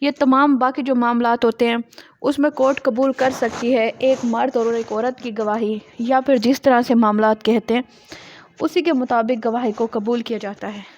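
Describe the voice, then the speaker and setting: A woman with a Pakistani accent giving an academic law lecture, reading out provisions deliberately and explaining them as a teacher would. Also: a little high, fairly even intonation, slight background noise. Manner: slow, deliberate English reading alternating with quicker Urdu explanation. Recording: muffled, dull lo-fi audio.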